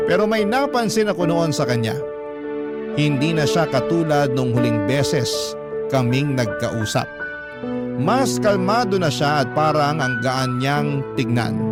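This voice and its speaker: A man acting as an earnest younger brother, narrating his own story in a sentimental, measured way for a radio drama. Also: medium pitch, natural voice. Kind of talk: emotional first-person radio drama narration. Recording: prominent music under the voice. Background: loud instrumental music.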